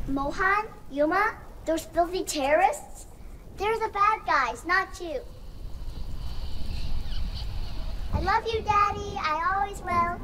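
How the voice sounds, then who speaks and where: A small ghost girl in a video game. Her voice is high and childlike, tender yet solemn, over faint background noise. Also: unhurried. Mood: tender, loving. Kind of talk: game acting, storybook-like delivery.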